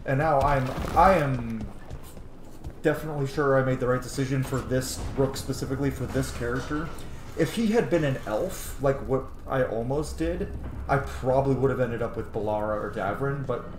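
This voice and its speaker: A man's voice: mid-range voice, normal speed, background noise. A man giving casual, emotionally invested commentary as he plays a video game. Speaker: gaming streamer. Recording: roomy, game music behind.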